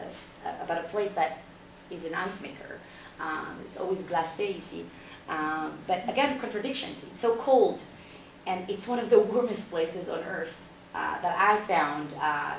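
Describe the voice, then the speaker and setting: A woman gives a personal conference talk, speaking haltingly with frequent restarts and fillers. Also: on the low side, creaky. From Israel. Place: conference room without windows. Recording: echoey room from far off, faint noise.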